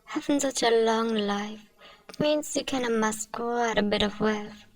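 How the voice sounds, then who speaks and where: A woman acts out a doting vampire noblewoman in an ASMR roleplay. Her voice is on the high side with a touch of creak, recorded close and fairly dry of room. She speaks soothingly and politely, like a possessive but kind owner. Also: no room sound, far from the mic, poor audio. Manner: soothing, genteel, addresses listener as dear. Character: gentle wealthy female vampire, doting, genteel, possessive owner. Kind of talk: ASMR roleplay, soft scripted acting.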